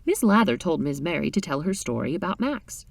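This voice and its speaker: Animated woman reading a story aloud. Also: lowish voice, fairly quick, gravelly, no echo, distant mic. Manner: animated, dramatic reading with character voices. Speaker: reader of a short story. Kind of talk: expressive storytelling narration.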